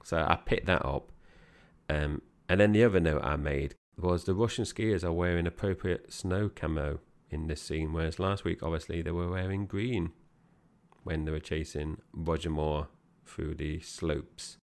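Very deep, gravelly-voiced man chatting. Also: normal speed, a little mellow. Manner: chatty, meandering with fillers and restarts. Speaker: podcast co-host. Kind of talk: casual film review chat.

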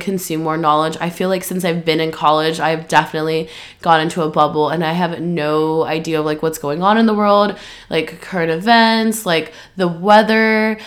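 A young woman, slightly nasal and stuffy from allergies, talking through her plans on a solo podcast, rambling with lots of "um" and "like". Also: fairly low pitch. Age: 20s. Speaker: podcast host. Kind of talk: casual solo podcast chat.

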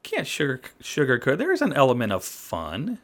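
A man who is a guest on a podcast, telling stories in a rambling, halting way. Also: mid-range voice, wildly swooping pitch. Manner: rambling storyteller, halting restarts. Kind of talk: casual podcast chat.

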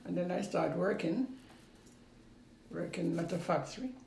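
A woman in her eighties with a Jamaican accent, recalling her past in an unhurried way that repeats phrases and trails off. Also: on the low side, vocal fry, darkish tone. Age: 80s. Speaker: interviewee. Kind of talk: casual recollection. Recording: roomy recording with faint background noise.

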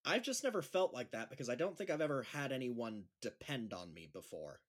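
A lonely, awkward schoolteacher, played by a man in a naturalistic audio drama. He is hesitant and earnest, putting himself down as he talks. His mid-pitched voice moves up and down in very lively swings. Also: brisk. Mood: sad, confessional. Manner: hesitant, earnest, self-deprecating. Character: lonely, pathetic, awkward adult male school teacher.

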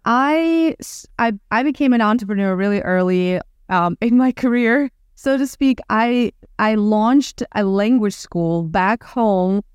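A woman from Ukraine in her thirties with a Ukrainian accent, talking casually as a podcast guest with lively restarts and fillers. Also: mid-range voice, a little slow, hardly any pauses, clean tone, dry recording, clean studio sound. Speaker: guest entrepreneur. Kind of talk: casual interview talk.

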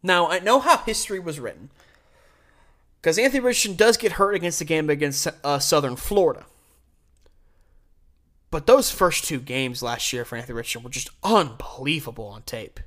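A man's voice: fairly high pitch, moderate pace, pauses often, a bit bright. An American man hosting a sports show, delivering an opinionated solo commentary in an emphatic, conversational way. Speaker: sports podcast and radio host.

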